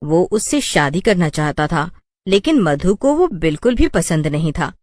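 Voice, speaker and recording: A woman reads a scripted story as narrator. Her voice sits a little low for a woman. The recording is very dry, with no room sound. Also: sing-song, a little slow, darkish tone, no echo.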